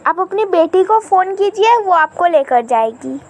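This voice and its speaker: A woman performs a young, stubborn daughter-in-law from an animated moral tale. The voice is strikingly high and unhurried. She keeps polite forms of address while firmly talking back. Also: exaggerated voice. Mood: dismissive. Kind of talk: scripted animated moral story dialogue.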